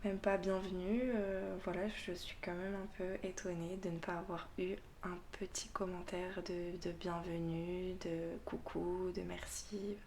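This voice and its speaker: A woman hosting her own tarot channel, talking unscripted in a slow, hesitant way, full of fillers and restarts, often dropping to a soft, half-whispered voice and chuckling at herself. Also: on the low side, flat delivery, a little hiss or hum. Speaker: tarot reader and channel host. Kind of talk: unscripted casual vlog monologue.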